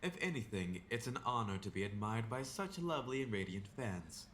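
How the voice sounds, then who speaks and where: A man's voice: on the low side, animated, a little fast, a bit bright, a little hiss or hum. A man playing a gallant young figure skating idol in an audio drama, speaking in courteous, flowery phrases. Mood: charming, gracious. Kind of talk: anime-style audio drama acting.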